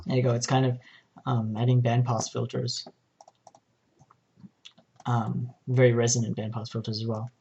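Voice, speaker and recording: A man narrating an informal software tutorial, explaining each step in a hesitant way. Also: medium pitch, little pitch movement, moderate pace, long pauses, husky, muffled recording. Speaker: tutorial presenter.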